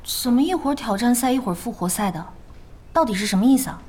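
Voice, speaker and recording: An actress playing a gentle, curious young female gamer in an audio drama, mild, composed and supportive. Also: on the high side, animated, quick pace. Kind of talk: Chinese audio drama acting.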